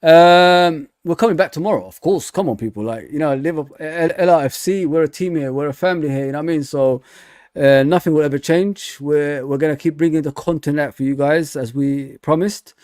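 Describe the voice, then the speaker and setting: A man's voice: fairly high pitch, fairly quick, darkish tone, smooth, dry recording, studio quality. A man hosting a casual fan livestream, laughing often and reading viewer comments aloud.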